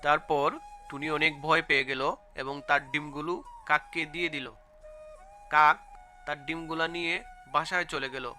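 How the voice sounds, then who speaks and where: A man's voice: on the high side, steady tone, moderate pace, long pauses, sharp tone. A man giving scripted narration for a cartoon bird story. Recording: music behind the voice.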